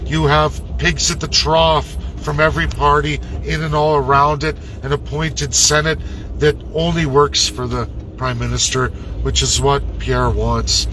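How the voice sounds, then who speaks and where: A man with a fairly high, hard-edged voice delivers a combative political rant. He speaks very slowly and emphatically, swearing and repeating words for weight. There is slight outdoor or vehicle noise behind him. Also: little pitch movement, sharp tone, low-quality recording. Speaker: political commentator. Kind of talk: impassioned political rant to followers.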